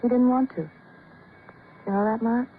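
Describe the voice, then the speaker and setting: A scratchy, narrow old radio recording carries an actress as a distraught American wife. Her voice is fairly high, brittle and theatrical. She presses every point insistently, controlling and close to confession, in classic 1950s radio drama style. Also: steady tone, moderate pace, close to an everyday voice. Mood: insistent, confessing.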